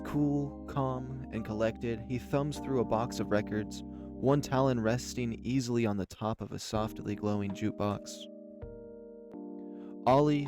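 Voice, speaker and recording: Man narrating a story over prominent music. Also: mid-range voice. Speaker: narrator and reader of a visual novel. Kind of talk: expressive first-person story narration.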